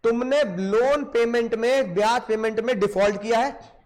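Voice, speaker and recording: Male lecturer, very high-pitched, sore throat, addresses students directly and drives points home through repetition. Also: normal speed, darkish tone. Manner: repeats phrases for emphasis, addresses students directly. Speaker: commerce teacher. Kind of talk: animated classroom lecture in Hindi-English mix.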